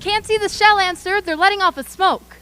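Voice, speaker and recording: A woman's voice: very high-pitched, fairly even intonation, brisk, noisy background. A woman playing an anxious army artillery spotter in a live radio play, giving clipped, urgent reports to a superior. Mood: alarmed, tense. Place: school gym used as theater.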